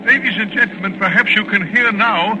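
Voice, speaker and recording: An actor playing a cerebral professor bearing witness to horror, in a formal, measured, literary 1940s radio style. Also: male voice, high voice, lightly acted. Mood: stunned, relieved. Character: cerebral male professor, a horrified eyewitness reporter. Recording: old radio recording with faint noise.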